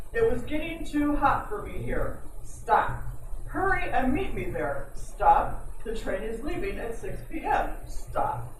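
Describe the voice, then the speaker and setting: A woman playing a good-humored, playful police officer in an amateur old-time radio detective drama. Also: high voice. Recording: very echoey room, distant mic, faint noise.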